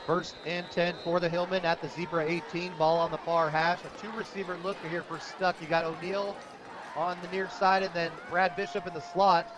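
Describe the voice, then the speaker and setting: A man's voice: high-pitched, little pitch movement, moderate pace. An American man calling a football game live on radio as play-by-play announcer, in short, clipped, vivid phrases. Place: outdoors at a football stadium. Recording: noisy outdoor stadium broadcast.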